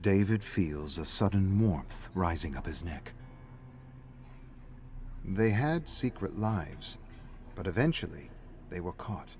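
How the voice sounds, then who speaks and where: A man's voice: sits low, expressive, takes it slowly, long pauses, darkish tone, a little hiss or hum. A man reading a story aloud as a scripted, dramatic narrator. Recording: music playing behind the voice. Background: faint background music.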